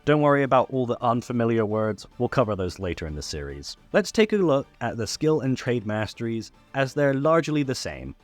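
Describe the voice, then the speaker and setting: A man presents a scripted tutorial with lively, swinging intonation over background music. His pitch sits in the middle range, and he explains things step by step with small playful asides. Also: fairly quick, hardly any pauses. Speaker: tutorial host.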